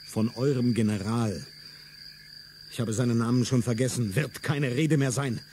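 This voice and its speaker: A man's voice: mid-range voice, steady tone, normal speed, dark tone, a little hiss or hum, close to an everyday voice. A man playing a fierce, declamatory revolutionary. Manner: solemn, declamatory delivery. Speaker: radio drama actor. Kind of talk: stage-like radio play acting.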